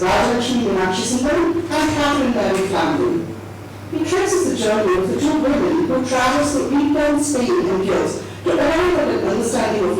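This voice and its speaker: A woman's voice: high-pitched, background noise. A woman formally reads a prepared introduction as presenter at a live event. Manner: formal, reading from a prepared text. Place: echoey event venue. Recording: very echoey, distant, loud music behind.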